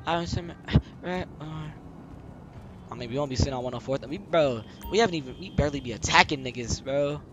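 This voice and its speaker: A man's voice: a little high, brisk, highs cut off, faint music behind. A man streaming a game, chatting casually and role-playing with friends in slangy, sweary talk. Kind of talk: casual gaming chat, role-play banter. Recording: noisy game audio with vehicle sounds.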